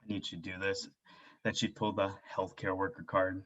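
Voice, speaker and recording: A man's voice: deep voice, level tone, brisk, a bit bright, dull audio. A man playing a worried, frustrated father in a staged drama reading, with a pleading, earnest manner. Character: worried, frustrated stay-at-home father and husband.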